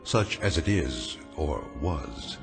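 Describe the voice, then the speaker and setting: A man delivering scripted dramatic narration as narrator, measured and ominous. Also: rumbling low, lively intonation, over loud music.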